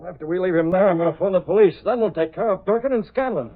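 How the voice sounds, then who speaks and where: Seeking coldly menacing masked crimefighter: brisk, highish voice, terse orders, vintage radio serial sound. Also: male voice. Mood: calm, planning. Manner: terse, commanding, deliberate. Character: masked vigilante, commanding, coldly menacing man. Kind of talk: 1930s-40s radio drama acting. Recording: tinny old radio recording.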